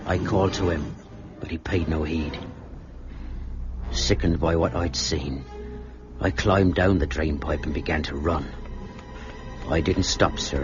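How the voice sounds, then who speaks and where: An actor playing a deferential, shaken sailor confessing to a horror in an earnest radio drama style. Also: male voice, very deep voice. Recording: muffled, with music behind.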